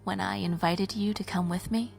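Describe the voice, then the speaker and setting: A woman playing a devout, determined rebel leader in a fantasy audio drama, soft and breathy, gentle with friends. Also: medium pitch, steady tone, normal speed, faint music behind. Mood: warm.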